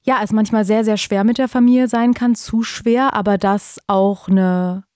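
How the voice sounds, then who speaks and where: Woman radio host, chatty and friendly, laughs a lot, mid-pitched, dry studio sound. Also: steady tone, moderate pace, a little mellow, no room sound. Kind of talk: late-night radio call-in talk. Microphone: studio microphone.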